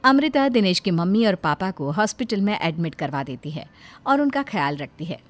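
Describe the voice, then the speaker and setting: A woman's voice: lowish voice, big pitch swings, moderate pace, faint music behind. A woman reading scripted narration as a storyteller.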